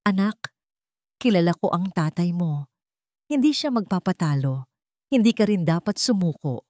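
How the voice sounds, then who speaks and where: A woman's voice: on the low side, expressive, normal speed, darkish tone, no echo, clean studio sound, lightly acted. A woman voicing an elderly, motherly housemaid in a story, grave and caring in manner. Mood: gentle, firm.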